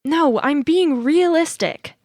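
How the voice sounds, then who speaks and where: An actress playing a bitter young adult daughter of a politician in an audio play, sarcastic and confrontational. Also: female voice, high-pitched, lively intonation, slow pace, a little mellow, no echo, studio quality. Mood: accusing.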